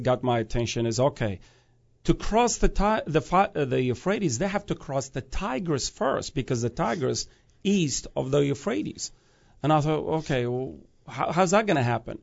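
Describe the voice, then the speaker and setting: A man's voice: fairly high pitch, big pitch swings, moderate pace, creaky, darkish tone, dull audio. A man who grew up in Iraq, a guest speaking about prophecy in an earnest, preaching way, often restarting phrases and rising to emphatic peaks.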